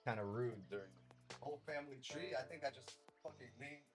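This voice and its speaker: A man's voice: medium pitch, quick pace, faint music behind. A man hosting a day-trading livestream, chatting casually, joking and swearing often. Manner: joking, profane, laughs often.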